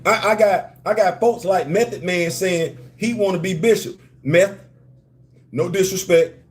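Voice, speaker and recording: A man's voice: sits high, a little slow. Emphatic New York man on a live stream. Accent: Southern-tinged New York. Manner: emphatic, repetitive, slangy, chats with viewers. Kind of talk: animated live-stream commentary.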